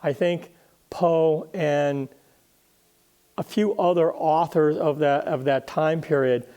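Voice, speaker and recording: An older man speaking conversationally as a guest historian in an interview, thoughtful and halting, often doubling back on words. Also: a little high, fairly even intonation, dark tone, clean tone.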